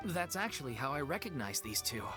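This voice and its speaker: Low-voiced, earnest theater troupe member. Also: female voice, very animated, brisk. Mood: somber. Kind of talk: English video game dub acting. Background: clear background music.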